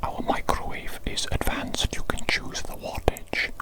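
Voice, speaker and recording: A woman voicing a pompous, fussy evil doctor in a whispered ASMR roleplay, breathy and given to repeating phrases emphatically. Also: measured pace, lightly acted. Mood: exasperated, irritated. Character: pompous, exasperated evil doctor, self-proclaimed mad scientist genius.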